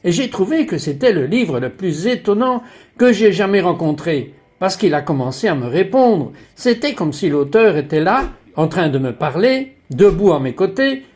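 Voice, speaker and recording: A man's voice: high-pitched, a little mellow. A man providing a voice-over dub for a religious interview and testimony programme. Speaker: French voice-over dubber of a religious interview show.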